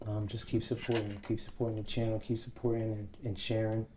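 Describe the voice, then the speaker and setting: A man's voice: fairly low pitch, little pitch movement, brisk, noisy background. A man hosting an interview show, speaking in a presenter's style of narration and host talk.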